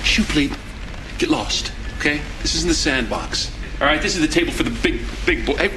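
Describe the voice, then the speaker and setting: A male actor as a cocky hotshot pilot in a game cutscene, boastful and mocking. His voice sits fairly high and leaps around theatrically as he performs. Clear background noise and ambience surround him. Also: animated, moderate pace, close to an everyday voice. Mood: sneering contempt. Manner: boastful, mocking, theatrical storytelling with shouted sound effects. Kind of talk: dramatic video game cutscene acting.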